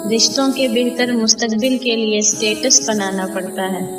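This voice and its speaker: A woman's voice: a little high, moderate pace, natural voice. Woman acting solemn proud mother over prominent music. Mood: remorseful. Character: proud, status-minded mother, now remorseful. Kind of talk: melodramatic drama acting.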